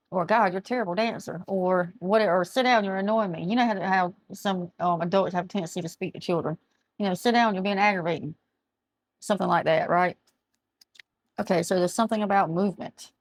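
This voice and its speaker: A middle-aged woman, chatting through a tarot reading and reading aloud from a card book, her voice going hoarse as she often loses her words. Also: medium pitch, dry recording. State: losing her voice. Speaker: tarot reader. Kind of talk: casual tarot reading to camera. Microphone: camera mic, speaking to camera.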